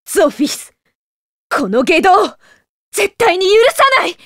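Haughty young noblewoman, high voice, stern, resolute, dry anime game recording. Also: very animated, takes it slowly, a bit bright, no echo, full character voice. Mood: grim resolve. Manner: haughty, commanding.

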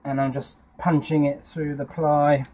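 A man's voice: a little high, flat delivery, measured pace, darkish tone. Man thinking aloud at the workbench. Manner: informal, thinking aloud while working. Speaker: electronics hobbyist presenting his workshop project. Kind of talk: casual hands-on workbench narration. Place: small wooden garden shed workshop.

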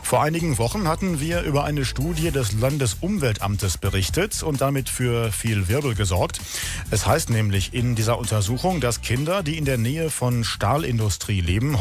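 A man's voice: medium pitch, animated, normal speed, faint music behind. A man presenting local radio as host, reading scripted items in a studio.

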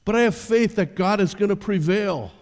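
An older pastor preaches from a church stage in a mellow, slightly high voice. He talks to the congregation conversationally and keeps putting questions to them. A long hall reverb trails each phrase. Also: normal speed. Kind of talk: sermon.

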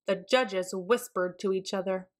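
A woman narrating a children's storybook in an expressive, deliberate way. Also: medium pitch. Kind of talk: read-aloud storybook narration.

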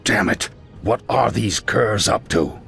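A veteran paladin mentor in a fantasy game. His voice is low, grave and measured, with fatherly authority and faint music behind. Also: creaky, a bit bright, exaggerated voice. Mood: stern, scornful.

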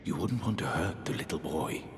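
An older man playing a genteel, eerie aristocratic sorcerer priest in a fantasy game, speaking in theatrical archaic phrases with a breathy voice that drops toward whispers. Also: animated, moderate pace. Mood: gently indulgent. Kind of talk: dramatic fantasy game character dialogue. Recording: echoey hall reverb, faint rumbling noise.

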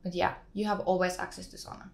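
A woman's voice: fairly low pitch, little pitch movement, fast talker, creaky, slight background noise. A young woman with a Finnish accent, a guest in a studio interview, talking casually with lots of fillers. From Finland. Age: young adult. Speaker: guest, exchange student. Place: studio, indoors. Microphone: studio mic on boom arm.

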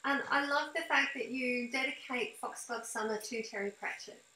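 A woman's voice: high voice, moderate pace, echoey room, a loud crowd, low-quality recording. A middle-aged woman interviewing someone casually over a video call. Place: indoor room with blinds on a video call. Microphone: video call, computer microphone.

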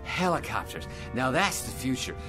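A man's voice: medium pitch, sing-song, moderate pace, close to an everyday voice. Guy playing a middle-aged know-it-all windbag in a radio play, voice jumps all over, music behind. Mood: excited rambling. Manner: rambling, stammering, self-pleased, chuckling. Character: cocky, argumentative middle-aged bigmouth bore. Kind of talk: radio drama acting.

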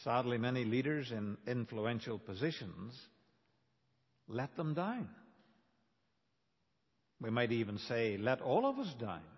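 Slow-paced preacher in a reverberant church. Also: male voice, medium pitch, sing-song, husky. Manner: deliberate, emphatic, dramatic pauses and rhetorical questions. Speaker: pastor preaching. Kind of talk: sermon. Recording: dull, reverberant church hall recording.

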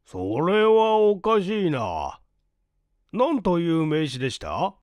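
A man voicing a genial, dignified older gentleman with a measured, courteous manner in a story reading. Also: a little high, huge pitch swings, no echo, clean studio sound, voice pushed up, full character voice. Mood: puzzled.

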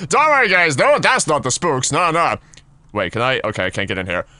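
A man commentating on a horror game as he plays, jokey and excitable, his voice husky and deeper than usual from being sick. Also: fairly quick, no room sound. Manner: jokey, excitable, yelps and giggles. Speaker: gaming YouTuber.

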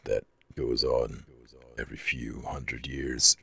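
A man voicing a shy, caring male dragon in an ASMR roleplay, hesitant and intimate, with a gravelly, husky voice. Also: extremely low pitch, level tone, takes it slowly, sharp tone, dry recording, exaggerated voice. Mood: wistful, shy.

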